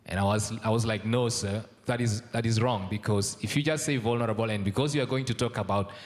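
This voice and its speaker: A young man from South Sudan giving a formal conference speech, measured and full of ums and uhs. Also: fairly low pitch, steady tone. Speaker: conference speaker. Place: large conference hall. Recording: long hall reverb.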